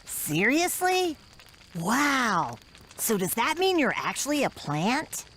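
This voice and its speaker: A boyish anime game hero, fearless and guileless. The pitch is high and swings widely, at an unhurried pace, with music behind. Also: female voice, big pitch swings, fairly bright tone, a little hiss or hum, full character voice, poor audio. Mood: curious, easygoing. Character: cheerful, naive, fearless young boy hero. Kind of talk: anime video game voice acting.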